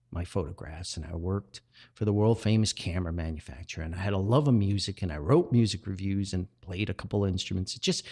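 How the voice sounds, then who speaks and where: A man's voice: lowish voice, a little mellow. A man hosting a podcast, telling personal stories in a casual, folksy, enthusiastic and chatty way. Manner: folksy and chatty, addresses listeners as friends. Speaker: podcast host and writer.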